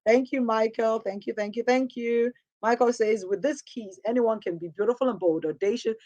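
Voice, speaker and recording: A woman hosting a motivational talk show, her voice husky and hoarse from a sore throat, speaking in an upbeat, encouraging, preacher-like way. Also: fairly high pitch, normal speed, mellow, lo-fi sound. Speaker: host.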